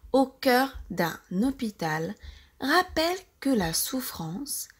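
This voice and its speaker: A woman with a French accent narrates a novel in a fairly high voice. She reads extremely slowly, phrase by phrase, with careful and deliberate enunciation suited to learners practising pronunciation. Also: breathy edge. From France. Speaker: audiobook-style reader for language learners.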